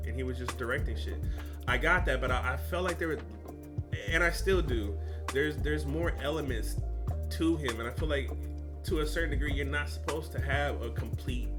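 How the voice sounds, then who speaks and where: A young man talks through a reaction video in loose, slangy chat, breaking into loud excited exclamations. His voice sits at an ordinary middle pitch. Music plays clearly underneath him. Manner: excitable, slangy, exclaims loudly at what he sees. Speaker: reaction channel host. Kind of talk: casual reaction commentary.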